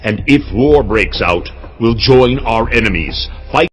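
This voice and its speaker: A man's voice: medium pitch, lively intonation, unhurried, slightly rough. A man playing a commanding, shrewd king of ancient Egypt in a dramatized audio Bible. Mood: wary, scheming.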